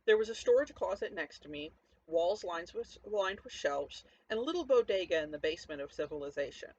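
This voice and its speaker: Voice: mid-range voice, animated, brisk, slightly rough. A performer narrating a first-person story as a cynical, defiant, foul-mouthed zombie apocalypse survivor, sardonic and conversational, talking straight to the listener. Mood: wry, brooding. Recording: dull lo-fi audio, faint background noise.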